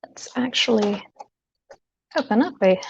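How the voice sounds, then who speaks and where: A woman's voice: on the high side, lively intonation, a little slow, gravelly, lo-fi sound, a little hiss or hum. A woman livestreamer chatting casually while tinkering, in a hesitant, rambling way with playful asides. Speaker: livestreamer, host.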